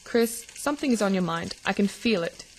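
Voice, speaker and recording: A caring, teasing teenage big sister from a radio drama, played by a woman. She speaks at a middling pitch, questioning and reassuring. Frying and sizzling noise runs behind her voice. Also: lively intonation, a little fast, close to an everyday voice, rough recording. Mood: teasing, curious. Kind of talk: radio drama acting for English learners. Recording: clear background noise of sizzling cooking. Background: frying and stirring kitchen sounds.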